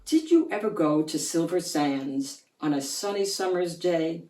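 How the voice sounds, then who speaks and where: A woman reading a children's picture book aloud in an expressive, theatrical storytelling manner. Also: fairly low pitch, a little slow, soft background music. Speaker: children's book reader and channel host. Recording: roomy echo, distant microphone.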